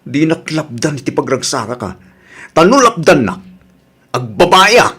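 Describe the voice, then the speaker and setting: A man's voice: a little high, big pitch swings, normal speed, fairly bright tone, slightly rough, heavily acted. Man acting an irritable, domineering husband. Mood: irritated. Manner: brusque, confrontational. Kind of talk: Ilocano radio drama acting.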